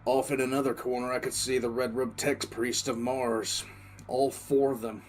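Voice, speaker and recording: A man playing a war-weary military commissar, recounting a crisis in halting, emphatic audio drama narration. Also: medium pitch, level tone, natural voice. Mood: grim, reflective. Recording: music under the voice.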